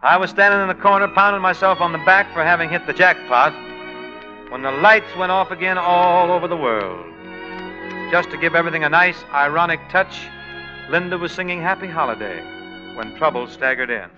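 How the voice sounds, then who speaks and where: A man's voice: fairly high pitch, a little fast, music in the background, lightly acted. A man playing a folksy easygoing innkeeper. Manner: folksy storyteller, relaxed and jokey. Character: easygoing male innkeeper and singer. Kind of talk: 1940s radio musical. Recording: dull lo-fi 1940s radio recording with orchestra.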